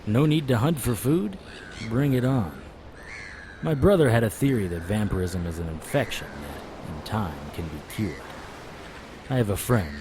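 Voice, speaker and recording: A man narrating an audio drama in the first person as a sardonic, world-weary vampire hunter, in a hard-boiled style. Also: fairly low pitch. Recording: audible background noise.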